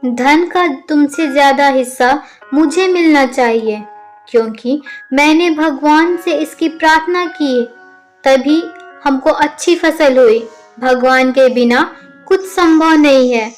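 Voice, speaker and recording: A woman's voice: high-pitched, takes it slowly, soft background music. A woman voicing a devout, idle and stubborn village man in a children's cartoon story, pious and insistent.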